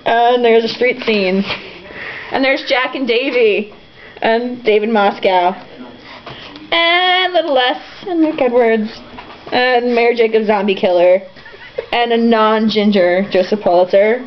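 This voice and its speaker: A woman's voice: fairly high pitch, unhurried. A woman reading a novel aloud informally, breaking off for giggly, sarcastic, profane asides. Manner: irreverent, giggly, sarcastic commentary. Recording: muffled, lo-fi home recording with background noise.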